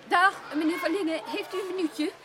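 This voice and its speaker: Een actrice die in een hoorspel een beleefde maar volhardende maatschappelijk werkster speelt. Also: female voice, very high voice, steady tone, a little fast, bright, forceful tone, a little hiss or hum. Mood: polite, persistent. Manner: courteous, insistent. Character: polite, persistent female social worker. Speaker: radio drama actor. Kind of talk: Dutch radio play dialogue.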